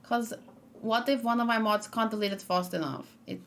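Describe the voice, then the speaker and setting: A woman's voice: a little high, fairly even intonation, normal speed, fairly bright tone, a little hiss or hum. A young woman chatting casually on a live stream, thinking aloud and reading out chat messages as she reacts to game trailers.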